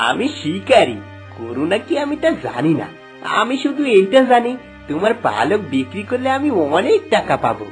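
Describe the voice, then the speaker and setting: A woman's voice: fairly low pitch, very animated, unhurried, faint music behind. A woman voicing a male village hunter in a fairy-tale cartoon, with a theatrical, declarative manner. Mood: mocking. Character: greedy male village hunter, callous, later haunted by fear. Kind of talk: scripted Bengali fairy-tale cartoon dialogue.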